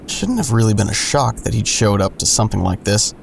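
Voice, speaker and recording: A man's voice: on the low side, very animated, fairly quick, vocal fry, slight background noise, exaggerated voice. An actor playing a wry, world-weary narrator in an audio drama, telling his story in dry, sardonic asides. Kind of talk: first-person noir-like audio drama narration.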